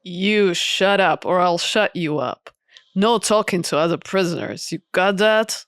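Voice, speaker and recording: A woman voicing a gruff, bullying male prison guard in a visual novel, curt and commanding. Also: on the low side, measured pace, dry recording, clean studio sound, natural voice. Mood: threatening.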